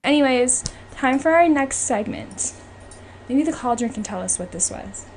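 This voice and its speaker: A woman's voice: high voice, expressive, moderate pace, darkish tone. A woman hosting a podcast, talking casually and emphatically as she gives her opinions. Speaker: podcast host. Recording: clearly noisy background.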